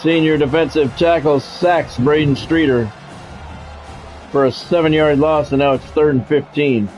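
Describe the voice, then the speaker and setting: A man's voice: on the high side, fairly even intonation, measured pace, a little mellow, faint music behind, low-quality recording. A man doing radio-style play-by-play of a football video game on a livestream, with swearing and greetings to viewers.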